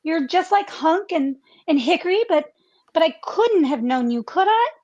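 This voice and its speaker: Lady doing innocent girlish heroine, high voice, earnest n gushy, radio play. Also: lively intonation, normal speed, a little mellow, dull audio. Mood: gushing. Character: earnest, innocent, tender-hearted young girl. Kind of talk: parody radio play acting.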